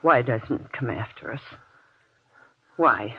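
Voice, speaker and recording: A man playing an anxious, devoted wife trapped underground in an old-time radio horror drama, speaking in an earnest, halting, hushed way. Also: medium pitch, slightly rough, full character voice. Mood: nervous. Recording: dull, muffled old radio recording.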